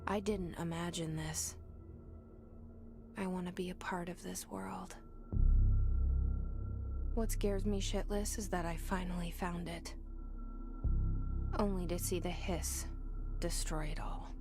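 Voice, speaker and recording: An actress playing a brooding, wary young woman in a hushed, confiding video game inner monologue. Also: fairly low pitch, steady tone, takes it slowly, pauses often, music in the background. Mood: quietly intense.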